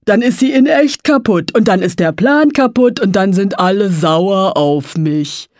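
A woman voicing a big, childlike, slow-witted male dragon in an audiobook, clumsy and evasive, with simple muddled grammar. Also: medium pitch, darkish tone, no room sound, clean studio sound, lightly acted. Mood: naive, earnest. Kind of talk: audiobook character voice.

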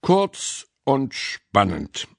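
A man's voice: medium pitch, huge pitch swings, very slow, dry recording, clean studio sound, pitched above the performer's own voice, exaggerated voice. A man voicing an amused, self-satisfied cat with a drawl in a children's storybook. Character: amused cat listener with a fine humour.

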